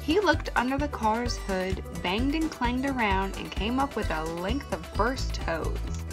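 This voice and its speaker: A woman's voice: a little high, expressive, measured pace. A woman narrating a children's picture book aloud, with music behind her. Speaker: storybook reader.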